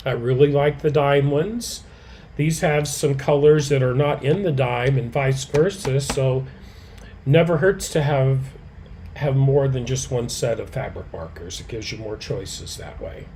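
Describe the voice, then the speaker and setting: A man hosts a live quilting lesson in a mellow, warm voice with a slight hoarseness. He answers viewers by name in an easy, relaxed way. Between explanations he murmurs quietly to himself as he works, and a faint hiss sits underneath. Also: mid-range voice, unhurried. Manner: relaxed, talks softly to himself while he works. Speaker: quilting educator. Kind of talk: live craft demonstration.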